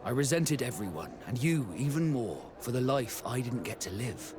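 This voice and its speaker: An actor playing a proud, hot-headed sea captain in a video game. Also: male voice, mid-range voice, sing-song, moderate pace, close to an everyday voice. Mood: reflective. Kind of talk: video game cutscene acting. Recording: clear background ambience noise.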